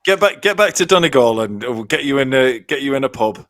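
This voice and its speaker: A man's voice: mid-range voice, expressive, fairly quick, a bit bright. A man hosting a podcast in casual chat over a video call, gushing with stammering enthusiasm. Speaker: podcast host.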